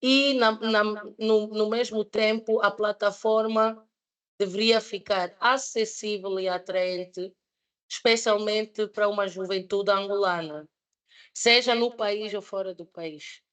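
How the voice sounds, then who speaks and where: A woman's voice: mid-range voice, fairly even intonation, takes it slowly, fairly bright tone. A woman in her thirties, not a native Portuguese speaker, presenting a project at a panel debate with many fillers and restarts. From Switzerland. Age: 30s.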